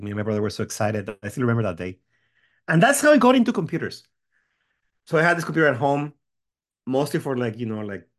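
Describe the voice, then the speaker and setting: A man, chatting as a guest, friendly and rambling. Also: medium pitch. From Mexico. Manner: friendly, rambling, many fillers and restarts. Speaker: guest, tech company founder. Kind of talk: interview chat.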